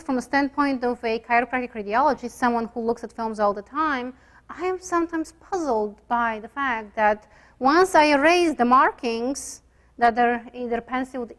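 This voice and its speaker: A woman with a Bulgarian accent speaks to a room of students in a relaxed, unscripted lecture. Her voice sits slightly high, and she often hesitates, filling gaps with "um" and restarting her sentences. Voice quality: hesitant, many fillers and restarts. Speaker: chiropractic radiologist lecturer.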